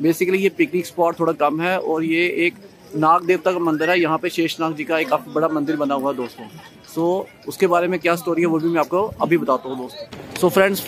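Chatty man vlogging to his phone. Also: a little high. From Jammu, India. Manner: chatty, addresses viewers as friends, explains as he goes. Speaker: travel vlogger. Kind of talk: casual travel vlog narration. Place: in a car, then outdoors by a lake. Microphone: phone camera at arm's length. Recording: faint outdoor and road noise.

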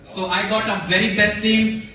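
A man's voice: sits high, level tone, moderate pace, pushed and bright. A young man whose first language is Hindi gives a hesitant acceptance speech, full of fillers and restarts, on a stage in a large banquet hall. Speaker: award recipient giving acceptance speech. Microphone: handheld mic heard from far in the room. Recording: echoey hall, recorded from far off. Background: audience chatter and noise.

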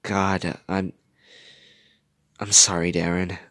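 A man's voice: lowish voice, sing-song, normal speed, a bit bright, dry recording, clean studio sound, exaggerated voice. A man voicing a blunt, guarded young woman in a dramatic story, curt and defensive. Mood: hesitant, sorry.

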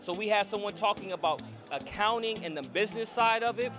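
High-pitched hype man hosting. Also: a little fast, over music. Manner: animated hype, slangy, repeats phrases for emphasis. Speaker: show host interviewer. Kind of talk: casual on-camera convention interview. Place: indoor convention hall booth. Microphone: handheld microphone.

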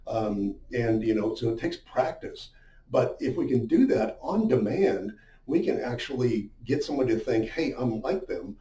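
Middle-aged man teaching, mellow and echoey. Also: medium pitch, sing-song, moderate pace. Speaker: business sales coach. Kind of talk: informal instructional talk at a whiteboard. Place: small indoor room with a whiteboard. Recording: roomy, muffled, distant-sounding echo.

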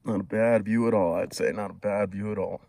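A man's voice: on the low side, fairly even intonation, fairly quick, gravelly, a little mellow, dry recording. Laid-back man vlogging by a lake. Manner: laid-back, upbeat, laughs easily. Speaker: hiking vlogger. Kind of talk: casual outdoor vlog. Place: outdoors by a mountain lake. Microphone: action camera held at arm's length.

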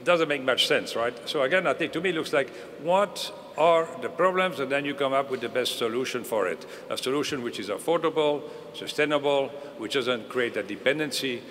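A man's voice: medium pitch, expressive, brisk, few pauses, fairly bright tone. An older man on a conference panel speaks earnestly, restarting and circling back as he reasons aloud. His voice carries a long reverb from a large hall, with faint ambient hiss behind it. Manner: earnest, restarts and hedges often. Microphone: table mic.

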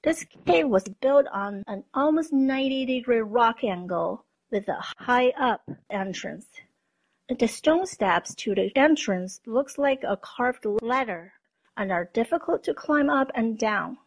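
Higher-pitched woman narrating a documentary. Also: lively intonation, rough recording. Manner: measured, explanatory. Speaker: presenter.

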